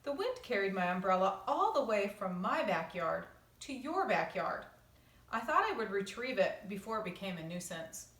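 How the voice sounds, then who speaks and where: A gentle, genial giant panda from a picture book, read by a woman, speaks with polite, welcoming courtesy. The voice is mid-pitched, heard slightly distant in an echoing room. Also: big pitch swings, moderate pace. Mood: apologetic, polite. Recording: roomy echo, distant microphone.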